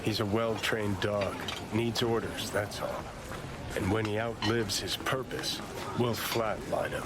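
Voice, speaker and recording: Man playing low-voiced scornful outlaw rebel. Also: unhurried, close to an everyday voice. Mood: contemptuous. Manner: scornful, slangy. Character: cynical, contemptuous male outlaw rebel. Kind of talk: cinematic video game dialogue. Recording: game dialogue under loud vehicle noise.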